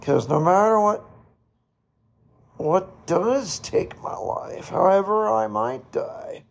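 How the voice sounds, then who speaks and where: A hoarse man talks through a solo podcast in a raspy, heavily gravelly voice. He pauses often and speaks slowly, and his pitch sits fairly high and moves around a lot. Faint music plays behind him. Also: lively intonation. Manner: slow, halting, pausing to reflect. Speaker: podcast host. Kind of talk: solo reflective podcast monologue.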